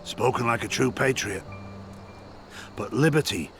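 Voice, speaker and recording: An actor playing an impassioned rebel leader in a fantasy game, speaking grandly and deliberately like a practised orator. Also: male voice, mid-range voice, lively intonation, fairly bright tone, soft background music. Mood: grave, reflective. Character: impassioned male rebel leader, rousing orator, world-weary mentor to soldiers. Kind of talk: theatrical fantasy game acting.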